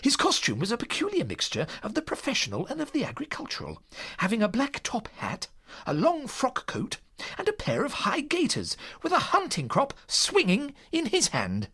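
Earnest narrator-companion, played by a man in detective audio drama. Expressive storytelling, sharp tone, dramatic swoops. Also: very high-pitched, moderate pace, husky, exaggerated voice. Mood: vivid, suspenseful.

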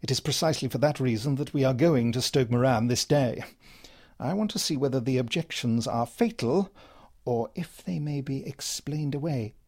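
A man playing a gentleman detective in an audio drama, cool, precise and imperturbable, with dry amusement. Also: mid-range voice, moderate pace, natural voice. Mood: pensive, analytical.

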